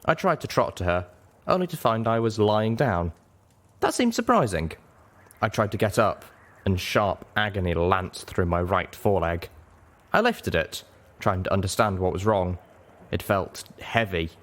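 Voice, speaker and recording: A man reading a story aloud as narrator in an expressive audiobook style. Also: low voice, normal speed.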